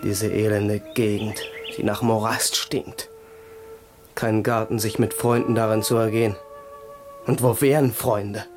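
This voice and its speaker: An actor playing a bitter, cultured young crown prince in a radio play, declaiming with theatrical irony. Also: male voice, fairly low pitch, little pitch movement, a little slow, lightly acted. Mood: bitter despair. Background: music.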